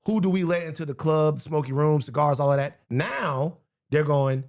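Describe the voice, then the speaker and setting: A man's voice: on the high side, moderate pace, darkish tone. A man speaking as a guest in a casual political conversation, emphatic and building his points through repetition.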